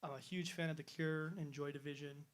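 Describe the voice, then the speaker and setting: A man's voice: on the high side, steady tone, fairly quick. A nineteen-year-old man answering interview questions as a band member, chatty and self-deprecating. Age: teens.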